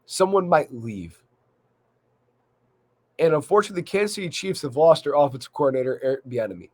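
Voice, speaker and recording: A man hosting a solo sports recap in a measured, conversational way with emphasis on key points, his voice slightly worn by a cold. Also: a little high, animated. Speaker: sports podcast host.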